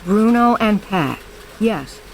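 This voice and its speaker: A woman's voice: mid-range voice, expressive, slow pace, a little hiss or hum, poor audio. An actress playing a guarded, stern woman hiding from her past in an audio drama, speaking tersely and firmly. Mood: dry.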